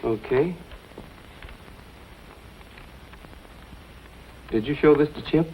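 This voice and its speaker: A man's voice: a little high, fairly quick, close to an everyday voice. A man playing an easygoing, kind and reassuring cowboy in 1940s western film style. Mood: calm, curious. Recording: dull old film soundtrack, faint noise.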